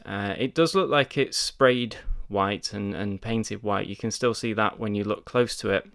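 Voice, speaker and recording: Gravelly-voiced man reviewing a watch. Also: medium pitch, a bit bright. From United Kingdom. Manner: chatty, full of ums and doubled words. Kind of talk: casual product review to camera.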